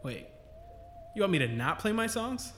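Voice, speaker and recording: A man's voice: high voice, very animated, moderate pace, fairly bright tone, soft background music. A man playing a doting, joking father with a wry, rambling manner in an audio drama. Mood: wry, tender.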